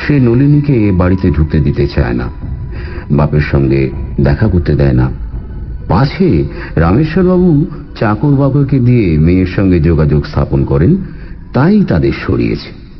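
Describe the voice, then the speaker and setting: A low-voiced family doctor in a radio drama, confiding a household's troubles with very wide swings of intonation. The audio is slightly dull, with soft music underneath. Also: male voice, big pitch swings, moderate pace, long pauses. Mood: confiding, concerned. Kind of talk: Bengali radio drama dialogue.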